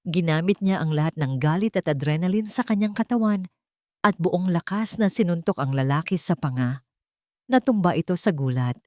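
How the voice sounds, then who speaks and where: A woman's voice: fairly low pitch, lively intonation, moderate pace, warm tone, no room sound, studio quality. A woman reading a scripted romance story as a dramatic narrator.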